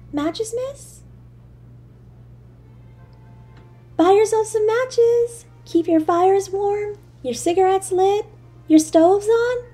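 A woman voicing a frail, frightened little street girl in an audio drama, timid, halting, shivering and tearful. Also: extremely high pitch, mellow. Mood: pleading. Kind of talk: dramatic audio story monologue.

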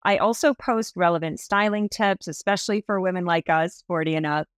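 A woman in her early fifties talks with bright enthusiasm as a podcast guest, her voice sitting a little low for a woman. She is recorded on a video call in a small room with a close, dry sound. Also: fairly quick, smooth, no room sound. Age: 50s. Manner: animated, enthusiastic storytelling with expressive hand gestures. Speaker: guest stylist.

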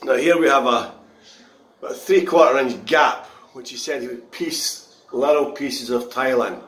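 A man reviewing a contractor's work in a handheld phone walkthrough of a half-tiled bathroom, speaking in a halting, critical way with many pauses. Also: medium pitch, a bit bright. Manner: slow, halting, frequent pauses and restarts; disgruntled, critical. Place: bathroom under renovation, indoors. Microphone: phone camera held in hand.

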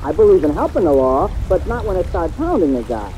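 A man's voice: fairly high pitch, big pitch swings, fairly quick, dark tone. An actor playing a plain-spoken male night garage attendant in an old radio drama, folksy, blunt and defensive. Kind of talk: 1940s radio drama acting. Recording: old radio broadcast, noisy with rain.

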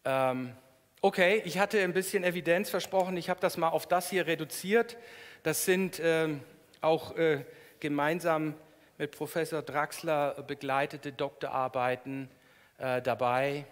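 A middle-aged man giving an academic keynote, explaining in a halting way with frequent hesitations. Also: a little high, fairly even intonation. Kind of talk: academic lecture, explanatory, semi-spontaneous. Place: lecture hall in front of an audience. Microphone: headset microphone. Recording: reverberant lecture hall sound.

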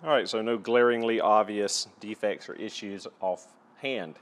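A man's voice: fairly low pitch, measured pace. A man giving a casual, matter-of-fact and methodical product review as he presents tools.

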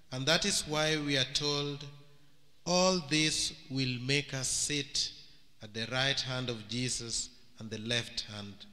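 Kenyan man, priest, unhurried homily, bright forceful tone, church reverb. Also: medium pitch. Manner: slow, measured preaching with long pauses, switching into Luo. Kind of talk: homily at Mass. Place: large church hall. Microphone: stand microphone and handheld mic. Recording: church hall with long reverb.

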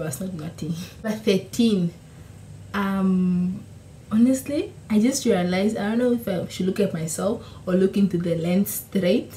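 A woman's voice: on the low side, dark tone. Chatty young woman from Namibia. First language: Oshiwambo. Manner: chatty, laughs often, self-correcting. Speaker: YouTuber. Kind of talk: casual Q&A vlog. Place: small room at home, indoors. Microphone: camera in front, seated. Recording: echoey room with faint hiss.

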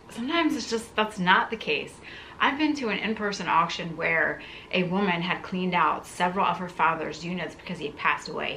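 Casting: female vlogger, thirties, forward bright voice, informal musing delivery, faint room noise behind. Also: fairly low pitch, low-quality recording. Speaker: content creator, video host. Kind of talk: casual unboxing vlog, talking to camera. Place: living room, indoors.

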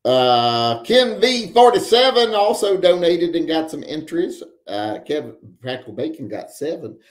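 A man's voice: on the high side, very animated, unhurried, roomy sound. A man in his forties hosting a live stream from home, reading viewer chat and rambling with wry joking asides. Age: 40s. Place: home room with guns on the wall.

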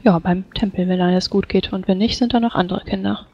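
A woman playing a tabletop role-playing game, speaking in character in a brisk, matter-of-fact way with fillers. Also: mid-range voice, steady tone, slightly rough. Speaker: player. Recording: dull lo-fi microphone.

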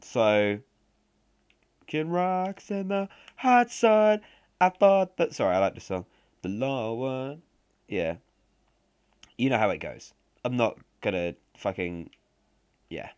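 A man's voice: mid-range voice, big pitch swings, slow pace, long pauses, a little mellow, smooth. A young man with a stuffy nose giving sarcastic, sweary, chatty commentary over a video game. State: congested. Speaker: let's play commentator. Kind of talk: casual gameplay commentary.

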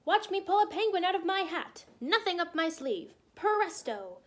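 Woman doing a loud, showy snowman magician. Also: very high voice, very animated, normal speed, voice pushed up. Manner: loud, theatrical patter. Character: showy snowman stage magician, boastful performer.

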